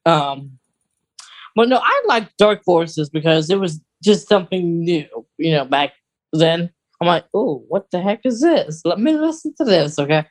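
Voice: mid-range voice, expressive, unhurried. An American podcast co-host chatting casually in an informal, rambling, conversational way.

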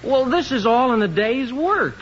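An actor playing a defensive, easily exasperated young husband in 1950s radio comedy style. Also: male voice, very high-pitched, very animated, normal speed, fairly bright tone, full character voice. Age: young adult. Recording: muffled, noisy 1950s radio recording.

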